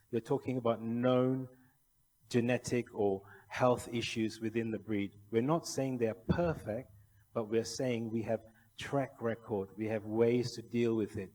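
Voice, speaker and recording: Male conference presenter from Malaysia, soft-edged warm tone, persuasive and rhetorical, recorded in an echoing hall. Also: mid-range voice, steady tone, a little slow. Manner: persuasive, rhetorical questions to the audience. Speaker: conference speaker, kennel federation official. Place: large indoor conference hall. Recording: echoey hall with long reverb.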